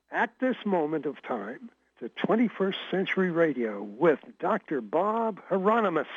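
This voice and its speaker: A male actor and theater teacher voices a friendly, measured radio station promo. He speaks slowly, with a husky voice and an expressive, rising and falling pitch. The recording is dull and lo-fi. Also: no room sound.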